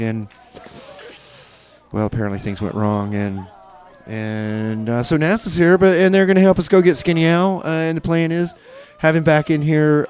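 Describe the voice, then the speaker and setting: A man's voice: on the high side, a little mellow. Rambling radio DJ man. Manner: halting, full of uh and repeated starts. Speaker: radio DJ and host. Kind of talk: rambling casual radio chat. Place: community radio studio.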